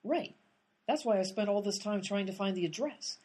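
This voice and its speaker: A woman playing an impatient amateur detective in a comedy radio play, brisk and exasperated as she works the case. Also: medium pitch, animated, a little mellow, close to an everyday voice.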